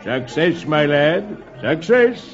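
A man voicing a genial, pompous older gentleman who savors his phrases theatrically, in an old-time radio comic reading. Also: fairly high pitch, sing-song, slow. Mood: grandly pleased. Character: genial, grandiloquent older gentleman friend of boys. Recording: dull old radio recording, music behind.

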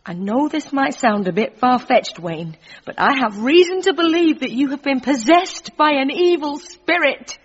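A slightly dull recording carries a bossy British woman with a high, swooping voice. She is brisk and down-to-earth, nagging colloquially in a radio comedy style. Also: animated. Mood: exasperated. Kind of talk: audio drama comedy acting.

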